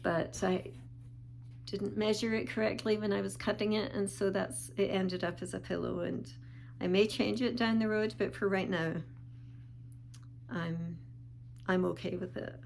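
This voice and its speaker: A woman talking casually in a show-and-tell vlog, calm and unhurried with small pauses, sounding a bit sniffly. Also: medium pitch, gravelly, slight background noise. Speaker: vlogger.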